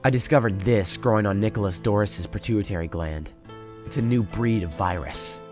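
A man's voice: lowish voice, normal speed, darkish tone, soft background music, natural voice. A man playing a stern, brooding doctor in an anime dub, intense and accusatory in his delivery. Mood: cold, demanding. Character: stern, brooding male doctor, indignant and morally driven. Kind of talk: dramatic anime dub acting.

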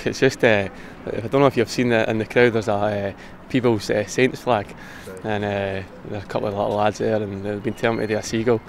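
Fast-talking gravelly Scottish footballer. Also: male voice, fairly low pitch, flat delivery, a little hiss or hum. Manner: quick, gravelly, casual, says "aye". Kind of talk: post-match interview. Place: outdoors pitchside at a stadium. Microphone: handheld interview mic, close camera.

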